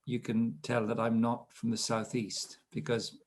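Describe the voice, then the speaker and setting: A man's voice: mid-range voice, monotone, unhurried, a little mellow. A man in his fifties with a Welsh accent from South Wales, telling stories about his life as a guest in an interview, self-deprecating and chatty. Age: 50s. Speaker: guest speaker. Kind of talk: relaxed storytelling interview.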